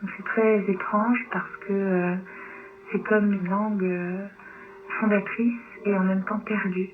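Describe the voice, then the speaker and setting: A woman's voice: little pitch movement, slow pace. Tinny radio, hesitant native-Romanian woman. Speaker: novelist, radio guest. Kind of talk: reflective interview conversation. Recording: tinny low-bitrate shortwave radio, clear noise.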